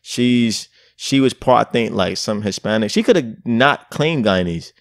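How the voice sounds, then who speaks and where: A man's voice: medium pitch, animated, a little slow, dry recording. A young man co-hosting a podcast in a casual, laid-back, joking chat. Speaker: podcast co-host.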